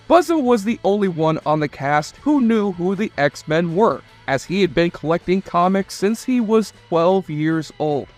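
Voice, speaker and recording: Guy narrating a video essay, fairly high voice, scripted, soft music under it. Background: faint music.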